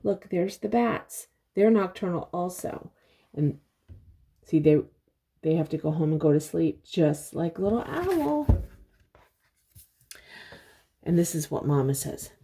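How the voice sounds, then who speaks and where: An older woman reading a picture book aloud to young children, pausing to explain things and ask simple questions. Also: fairly low pitch, a little hiss or hum. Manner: expressive, simple explanations and questions for little listeners.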